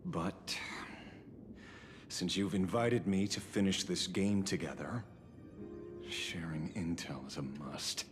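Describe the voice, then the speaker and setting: A man's voice: low voice, takes it slowly, long pauses. An actor playing a wry, dogged male detective in a video game, sardonic and defiant, with theatrical, pointed phrasing. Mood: pressing. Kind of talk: scripted video game voice acting. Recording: long reverb, music behind. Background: music.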